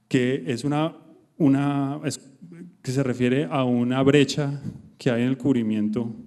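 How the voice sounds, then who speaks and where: Man, mellow tone, halting conference introduction. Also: mid-range voice. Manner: hesitant, many filler sounds and restarts. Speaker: conference host introducing a speaker.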